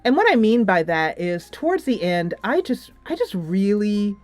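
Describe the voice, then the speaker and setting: Expressive middle-aged woman reviewing games casually. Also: mid-range voice, soft background music. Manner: animated, giggly, emphatic with drawn-out words. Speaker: game reviewer and video host. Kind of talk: casual spoken video game review.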